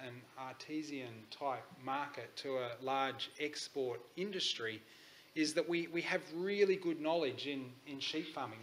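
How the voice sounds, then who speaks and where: A man's voice: fairly high pitch, fairly bright tone, poor audio. An Australian man giving a conference presentation, talking in a hesitant way with frequent ums. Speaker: conference speaker. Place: conference hall.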